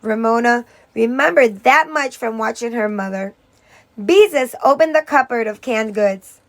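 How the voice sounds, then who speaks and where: High-pitched woman reading slowly to children. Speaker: teacher reading aloud. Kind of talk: children's story read-aloud.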